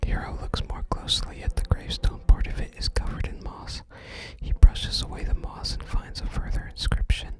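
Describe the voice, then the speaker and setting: An ASMR gaming host speaking entirely in a soft, breathy whisper, narrating play and reading game dialogue aloud. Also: moderate pace, hardly any pauses. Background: game music.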